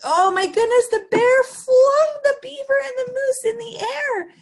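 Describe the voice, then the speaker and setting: A woman's voice: extremely high pitch, a little slow. A woman hosting story time, reading to young children in an exaggerated, sing-song, interactive way. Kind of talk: animated picture-book read-aloud for young children.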